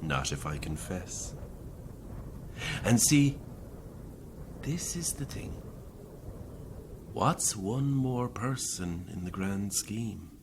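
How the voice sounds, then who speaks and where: Murderous Irish priest, horror audio drama: low voice, unhurried delivery, smug and sardonic, coldly confessional. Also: male voice, very animated, slight background noise, full character voice. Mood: gloating. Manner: deliberate, sardonic, conversational confession.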